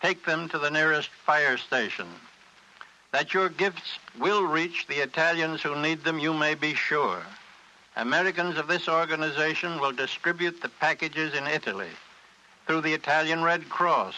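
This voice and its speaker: A man reading a solemn scripted charity appeal on 1940s radio in emphatic, clipped, deliberate phrases. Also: on the high side, pushed and bright, low-quality recording. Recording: hissy 1940s radio recording.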